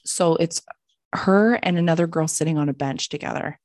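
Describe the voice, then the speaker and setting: A young Canadian woman chats through a personal story in a slightly low voice. She is blunt and sweary, animatedly acting out who said what. The sound is dry, with very little room. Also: moderate pace, dry recording. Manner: animated, blunt, sweary, acts out quoted dialogue. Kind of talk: casual conversational storytelling.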